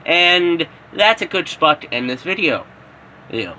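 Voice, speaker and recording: A man with a fairly high, bright voice narrates an improvised fan story. He goes slowly and haltingly, stumbling and repeating words, with faint noise in the background. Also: big pitch swings, poor audio.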